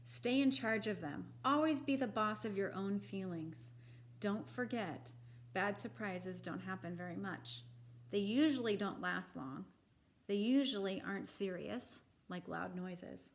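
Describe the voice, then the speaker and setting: Woman, medium pitch, very unhurried pace, children's book read-aloud, deliberate and expressive phrasing. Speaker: children's picture book reader.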